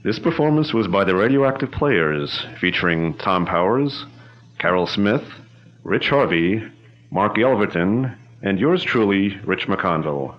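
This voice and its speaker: A man's voice: lowish voice, expressive, a little slow. A man announcing a radio drama in a dramatic, declamatory old-time radio style. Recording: lo-fi recording with loud music behind.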